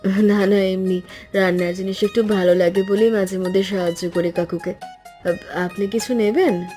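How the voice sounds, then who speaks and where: A woman's voice: medium pitch, fairly even intonation, moderate pace, mellow, lightly acted. A woman playing a modest, guarded young woman in a cartoon, speaking in a polite, reserved way. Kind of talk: cartoon voice acting. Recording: music under the voice.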